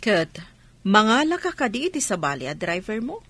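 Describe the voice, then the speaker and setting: An actress with an Ilocano Filipino accent playing a suspicious, blunt younger sister in a radio drama. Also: female voice, mid-range voice, very animated, moderate pace, fairly bright tone, no room sound. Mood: probing.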